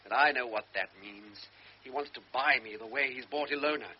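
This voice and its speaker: An actor playing a guilt-ridden young lieutenant. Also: male voice, mid-range voice, brisk, natural voice. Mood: brooding, guilty. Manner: brooding inner monologue, flustered excuses. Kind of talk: classic radio drama acting. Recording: dull old radio recording with faint hiss.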